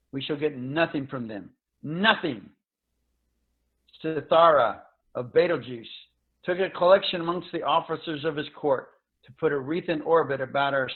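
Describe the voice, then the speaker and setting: A man's voice: mid-range voice, lightly acted. A man voicing a starved, bitter, dying spacefarer in a dramatic reading, weary and theatrical. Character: Grito, worn, starved, bitter old spacefarer near death. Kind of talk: dramatic reading of a science fiction story. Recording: tinny, narrow-band video call audio.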